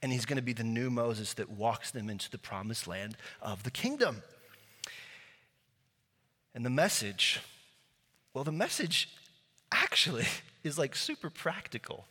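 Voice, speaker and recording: A man's voice: mid-range voice, sing-song, unhurried, long pauses, bright, forceful tone, husky. A male pastor preaching a sermon, telling a story in a casual way with many fillers and restarts. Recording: long hall reverb.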